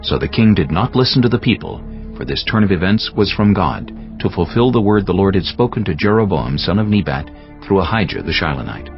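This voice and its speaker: A man narrating dramatized scripture as a measured storyteller. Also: low-pitched, lively intonation. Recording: slightly dull sound, music behind.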